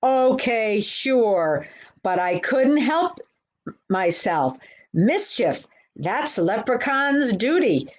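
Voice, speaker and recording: Storybook leprechaun leader, male played by a woman reader: impish, coaxing, bossy, slow delivery. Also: fairly high pitch, sing-song, heavily acted. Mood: playful excuse. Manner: cajoling, storybook dialogue. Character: mischievous male leprechaun ringleader, playful and bossy.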